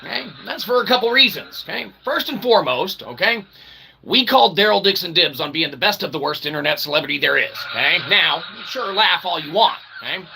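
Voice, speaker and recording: Animated higher-pitched man, mock-grand show host. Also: a little fast, vocal fry, slight background noise. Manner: mock-grand showman, repeats "okay" as a tag. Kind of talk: rambling comedic monologue to livestream audience.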